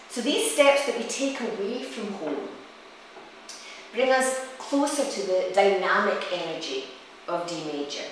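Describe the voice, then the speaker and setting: A woman giving a reflective, explanatory talk on music, like a storytelling lecture from a presenter who also demonstrates. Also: mid-range voice. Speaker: presenter, cellist and music teacher. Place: reverberant indoor room. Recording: very echoey room, distant mic, faint noise.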